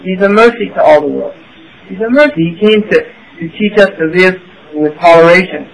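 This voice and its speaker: A man's voice: high voice, expressive, brisk, vocal fry, low-quality recording. A man giving a religious lecture to an audience, asking rhetorical questions and checking in with "right?". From United States. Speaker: Islamic teacher.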